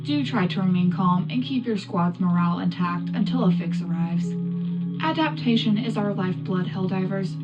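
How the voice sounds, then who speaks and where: A woman playing a tech reporter on a galactic wartime radio show, reading a scripted update in a brisk, rallying way. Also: medium pitch, dull audio, lightly acted. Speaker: radio news presenter.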